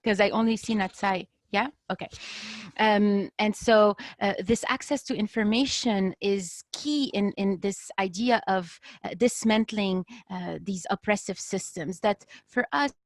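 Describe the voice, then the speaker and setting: A woman's voice: mid-range voice, measured pace, no echo. A woman from Lebanon giving unscripted remarks as a panel speaker on a video call, earnest and often searching for words. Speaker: panel speaker, design activist.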